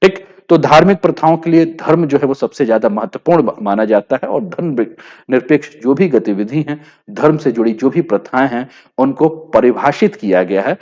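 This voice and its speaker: A man's voice: mid-range voice, animated, normal speed, darkish tone, highs cut off. A man with an Indian accent teaching in an explanatory lecture style, didactic, often rephrasing his points and leaning on verbal tags. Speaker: teacher of current affairs for exam students. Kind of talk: explanatory lecture in Hindi with English terms.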